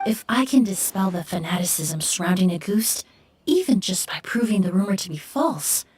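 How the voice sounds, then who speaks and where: A woman playing a courteous, serene young perfumer in an anime game, speaking in a measured, refined and polite manner. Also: medium pitch, animated, darkish tone, distant mic, faint music behind, close to an everyday voice. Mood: calm, gracious. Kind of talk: anime game story dialogue.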